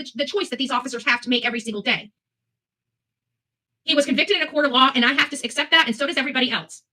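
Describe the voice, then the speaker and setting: A woman's voice: fairly high pitch, pushed and bright. A woman hosting live opinion commentary, speaking bluntly and emphatically, repeating phrases for weight and swearing freely. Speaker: host of a live commentary show.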